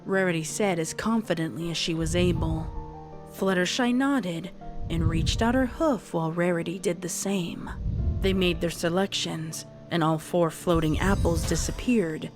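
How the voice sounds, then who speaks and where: Low-voiced woman narrator. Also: normal speed, music in the background. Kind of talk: scripted story narration.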